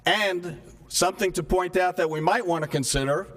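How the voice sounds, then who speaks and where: A soft-spoken man speaking as a resident at public comment during a town meeting, earnestly firing off rhetorical questions. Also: high-pitched, normal speed. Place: town hall meeting room. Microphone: microphone stopped working partway.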